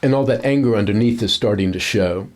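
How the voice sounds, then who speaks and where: A man's voice: lowish voice, brisk, a little mellow, a little hiss or hum. A man giving analytical commentary on film acting in a conversational, explanatory way.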